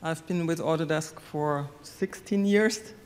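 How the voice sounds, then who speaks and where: A man in his forties giving a plain, even introduction on a conference panel. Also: a little high, measured pace. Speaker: panelist, sales operations director. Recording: long hall reverb through PA.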